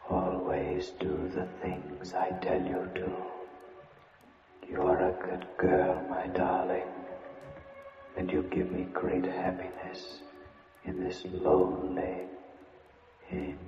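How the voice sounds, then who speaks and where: A man playing a séance medium who voices spirits of the dead, breathy, halting and solemn in old radio drama. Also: sits low, fairly even intonation, faint music behind. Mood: tender, ghostly. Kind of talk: 1950s radio drama acting. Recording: muffled old radio, cavernous echo.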